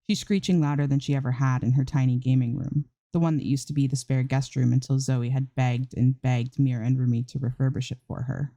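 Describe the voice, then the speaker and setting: A woman's voice: a bass voice, fairly even intonation, fairly quick, hardly any pauses, dark tone, no room sound. A woman narrating a scripted piece of fan fiction as an amateur story reader.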